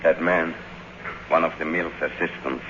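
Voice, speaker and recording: An actor playing a solemn, devoted older butler in a radio drama, speaking in formal, measured short sentences. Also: male voice, a bass voice, steady tone, pushed and bright. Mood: grave. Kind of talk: 1950s radio drama acting. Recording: muffled, hissy old radio broadcast, long reverb.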